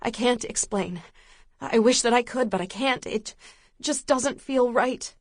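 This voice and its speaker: A woman's voice: a little high, unhurried, no room sound, clean studio sound. Woman voicing an anxious young woman, pleading.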